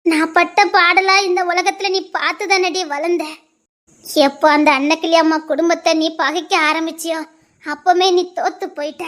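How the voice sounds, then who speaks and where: A woman's voice: very high voice, steady tone, moderate pace, a bit bright. An actress playing a mother who scolds her daughter and preaches about bad company at length. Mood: scolding. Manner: scolding, moralizing, in long proverb-like sentences. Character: stern mother lecturing her grown daughter.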